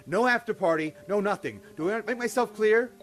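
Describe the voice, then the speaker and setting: A man's voice: sits high, a little fast, music in the background, lightly acted. A man playing a stern scolding reverend father. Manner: stern, scolding, rising to shouting. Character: stern controlling middle-aged reverend father. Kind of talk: amateur audio drama acting.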